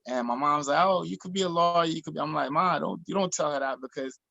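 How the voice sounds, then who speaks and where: Brief: high-energy man in his thirties, dry webcam sound, lots of restarts. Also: quick pace, gravelly, dry recording, lo-fi sound. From Jamaica. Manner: energetic, animated storytelling with many restarts. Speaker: guest. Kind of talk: video call interview. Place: small room in front of a printed backdrop. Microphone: laptop or webcam on video call.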